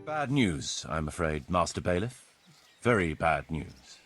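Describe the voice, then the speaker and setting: An actor playing a grave, pessimistic medieval steward who speaks formally in a video game. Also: male voice, sits low, sing-song, unhurried, music in the background. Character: male medieval steward bringing counsel, gloomy and pessimistic. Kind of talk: video game cutscene acting.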